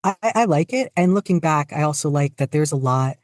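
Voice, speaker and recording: Casual podcast guest, halting but enthusiastic, dry sound. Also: medium pitch, animated, no echo. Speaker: podcast guest, fan fiction writer. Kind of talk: casual interview chat.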